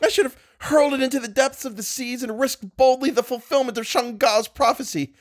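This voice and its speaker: A man voicing a tormented, disfigured archaeologist whose voice turns shrill and frantic. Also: a little fast. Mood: anguished. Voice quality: shrill, rising to shrieks. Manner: frantic, anguished pleading.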